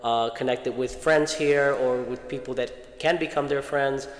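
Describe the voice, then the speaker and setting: A man of medium pitch interviews a colleague for the school, supportive and given to stammered restarts. The room has a long, echoing reverb. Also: fairly even intonation, a little slow. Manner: supportive, repeats words as he restarts. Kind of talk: interview, informational outreach.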